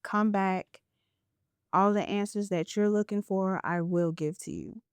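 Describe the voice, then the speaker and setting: Slow-spoken, smooth-voiced woman host. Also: mid-range voice, no room sound. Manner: earnest, reflective, spiritual storytelling. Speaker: podcast host. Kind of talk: casual interview chat.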